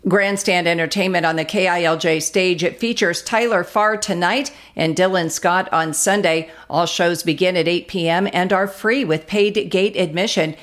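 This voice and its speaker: Female radio news announcer, American, on the lower side, scripted delivery. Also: normal speed, hardly any pauses, a bit bright. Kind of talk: scripted local news reading.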